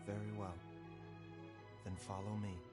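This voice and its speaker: A man playing a calm, grave village doctor in a video game, speaking in a measured, formal, clinical way. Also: lowish voice, over music, lightly acted. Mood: composed, instructing. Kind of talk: scripted video game voice acting.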